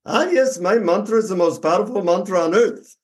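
A man's voice: high-pitched, a little fast, voice pushed up, lightly acted. A man voicing a serene, insistent Indian guru in a put-on Indian accent, speaking in a solemn, instructive way.

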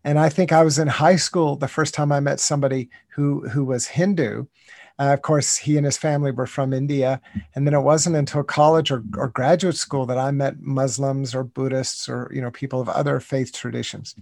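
Gravelly, earnest American man in his 60s. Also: medium pitch, steady tone, normal speed, darkish tone, no room sound, clean studio sound. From United States. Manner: thoughtful, earnest, many restarts and "you know". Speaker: guest, contemplative writer. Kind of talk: reflective interview talk.

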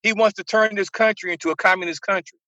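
Brief: opinionated male co-host, bright tense voice, dry close mic. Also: high voice, fairly even intonation, fast, dry recording, lo-fi sound. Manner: fervent, opinionated. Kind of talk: casual podcast chat.

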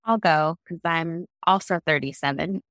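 A woman's voice: low-pitched, moderate pace, a bit bright, no echo, studio quality. A woman in her thirties who grew up in the Bay Area, speaking upbeat as an interview guest. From Bay Area, California. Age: 30s. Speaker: guest, donor-conceived sibling. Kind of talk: casual conversational interview.

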